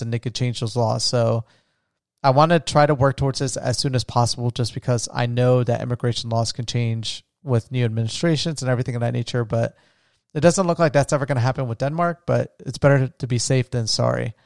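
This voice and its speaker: A young American man talks casually on his own podcast, musing and restarting his sentences as he goes. His voice comes through smooth and clear, recorded very dry and close with no room sound. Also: mid-range voice, steady tone, a little fast, a little mellow, dry recording. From United States. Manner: rambling, thinking aloud with many restarts. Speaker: podcast host. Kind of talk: casual solo podcast monologue.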